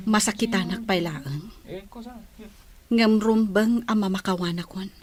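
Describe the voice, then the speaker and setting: A woman's voice: mid-range voice, close to an everyday voice. A woman playing a hurt but forgiving young cousin in an Ilocano radio drama.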